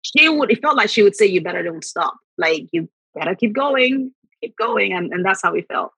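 A middle-aged woman from Nigeria whose first language is Yoruba, telling reflective stories about her writing and repeating words when she gets excited. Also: mid-range voice, normal speed, breathy edge, dry recording, low-quality recording. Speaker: author guest. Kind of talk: conversational interview.

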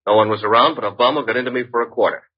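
Man acting a husky, wisecracking radio detective's sidekick. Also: mid-range voice, very fast, lightly acted. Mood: wry. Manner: breezy, wry banter. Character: wisecracking, flirtatious male private detective's assistant. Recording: dull, muffled vintage radio recording.

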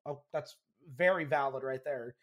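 A young man co-hosting a casual sports podcast, chatty and animated, quick to laugh. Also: medium pitch. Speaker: podcast co-host.

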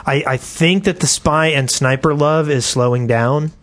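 A man's voice: a little high, measured pace, fairly bright tone, no room sound. A man co-hosting a gaming podcast, talking in a casual, conversational way. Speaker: podcast co-host.

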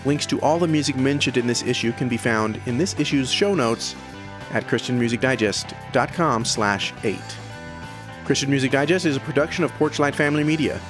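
A man speaking as a music podcast host and interviewer in a casual, conversational style. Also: mid-range voice.

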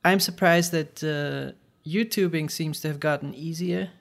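A podcast co-host chats casually in a fairly high, gravelly voice. He often stalls and repeats words before getting a thought out. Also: fairly even intonation. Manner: halting, with frequent stuttered restarts.

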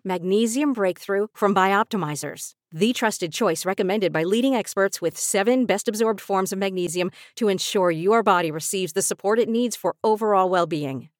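A woman's voice: mid-range voice, moderate pace, few pauses, no room sound, studio quality. A woman doing a scripted advertising voice-over in a polished, persuasive manner. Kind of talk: scripted supplement ad read. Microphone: close dry studio voice-over.

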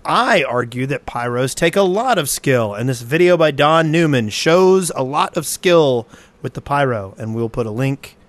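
Regular guy voice, middle pitch, co-hosting a casual podcast chat. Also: animated, normal speed. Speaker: podcast co-host.

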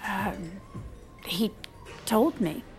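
A flustered wife in an audio drama, clinging to her marriage. Her delivery is slow and halting, with a creaky edge, and faint music sits underneath. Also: mid-range voice. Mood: embarrassed. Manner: halting, apologetic. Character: Diana, flustered, hurting wife clinging to her estranged husband. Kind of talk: naturalistic audio drama acting.

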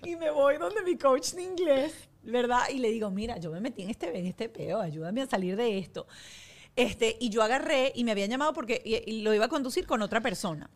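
A middle-aged woman with a Venezuelan accent and a slightly high voice chats as a guest. She tells stories in an animated, self-mocking way, acting out the lines she quotes. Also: brisk. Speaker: guest, TV presenter and actress. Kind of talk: casual chat, storytelling.

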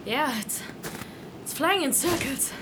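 A woman's voice: high voice, animated, takes it slowly, noisy background. A woman playing a blunt, impulsive young human in a fantasy audio drama, talking casually in blurted, hesitant starts. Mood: breathless, focused.

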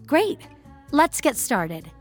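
A woman's voice: sits high, sing-song, very slow, voice pushed up, exaggerated voice. A woman plays an encouraging fitness app assistant in an audio fiction story. The voice is cheery, instructive and slightly raised, with light music behind it. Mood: cheery, helpful.

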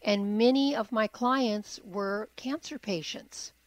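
A woman's voice: mid-range voice, takes it slowly, no room sound, poor audio. A woman hosting a radio talk show, asking long, rambling personal questions and murmuring agreement as she listens. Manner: steady stream of soft backchannel murmurs, rambling personal questions. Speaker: radio host. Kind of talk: radio interview.

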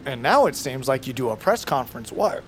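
A man's voice: mid-range voice, sing-song, a little fast, soft background music, lightly acted. A man playing a jokey, outgoing survivalist guru in an audio drama, exuberant and teasing. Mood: teasing, upbeat. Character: cheeky, outgoing survivalist turned breathing guru. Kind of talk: scripted audio drama acting.

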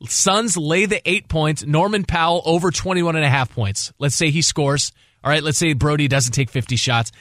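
Man, sports radio co-host, slightly high voice, dry studio sound, casual jokey banter. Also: pushed and bright, no echo.

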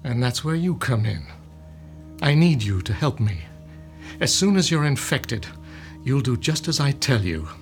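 A man playing a gloating, smug mad scientist villain in a radio drama, with soft laughs. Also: on the high side.

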